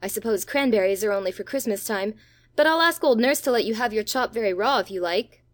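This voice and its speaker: A woman's voice: mid-range voice, lively intonation, brisk, close to an everyday voice. A woman playing a brash but good-natured schoolboy in a dramatized children's audiobook, with a blunt, eager manner. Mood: encouraging.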